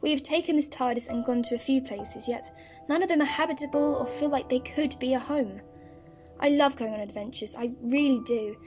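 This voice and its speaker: A woman's voice: high voice, natural voice. A woman playing a wistful, inquisitive young granddaughter in a fan audio drama, speaking earnestly. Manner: earnest, questioning. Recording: music laid under the voice.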